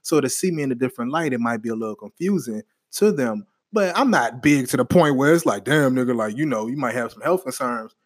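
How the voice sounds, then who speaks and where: Young male podcast co-host, casual and profane, lively talk on a dead-dry close mic. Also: medium pitch, fairly quick, hardly any pauses, no room sound. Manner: animated, swearing freely, restarts and rambles. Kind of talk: casual chat.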